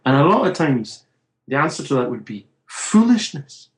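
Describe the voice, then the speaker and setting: A man's voice: a little high, sing-song, normal speed, a little hiss or hum. A middle-aged man from Scotland with a Scottish accent, a comic book writer chatting as an interview guest in a wry, joking way. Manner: chatty, wry storyteller with a dry joking humor. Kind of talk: casual interview chat.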